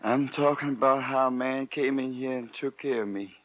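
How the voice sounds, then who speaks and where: A man's voice: medium pitch, steady tone, slight background noise, close to an everyday voice. Actor as a weak, dying man, old radio. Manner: weak, halting, folksy slang, wryly mocking. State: weak, failing breath. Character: weary, bitter poor man, stabbed and dying. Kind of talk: 1940s radio drama acting. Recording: dull vintage radio recording.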